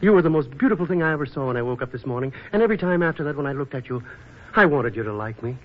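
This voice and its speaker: A man's voice: medium pitch, expressive, very fast, natural voice. Man acting a bashful, swooping 1940s radio romantic lead. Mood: crestfallen, confessing. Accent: American. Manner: stammering, eager, boyish. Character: bashful, lovestruck young man posing as a shipwrecked sailor. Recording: muffled old radio recording.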